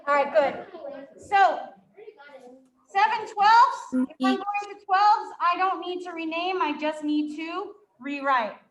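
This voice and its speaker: A woman's voice: very high voice, slow pace, a bit bright, roomy sound. A woman with a New York accent teaching a fifth-grade math class, working problems aloud and quizzing her students. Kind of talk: live classroom math lesson.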